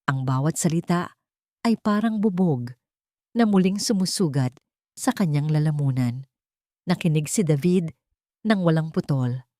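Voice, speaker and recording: A Filipino woman narrates a dramatic love story in a low register. The recording is dry, with very little room sound. Her delivery is scripted storytelling. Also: lively intonation, measured pace, long pauses, dark tone, dry recording.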